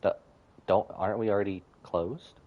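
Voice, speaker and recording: A man's voice: lowish voice, steady tone, moderate pace, narrow, tinny audio. A man giving casual live gameplay commentary as a streamer, thinking aloud and reacting with exclamations.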